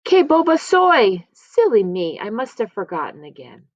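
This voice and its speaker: A kindly, forgetful storybook grandmother, voiced by a woman reader, busy and exclamatory. Her speech swings widely in pitch as she chides herself or shrugs off mishaps. The sound is dry and a little dull. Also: big pitch swings, moderate pace, no echo. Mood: self-chiding, exclaiming. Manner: exclamatory, self-chiding, drops in Spanish phrases. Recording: slightly dull, lo-fi close recording.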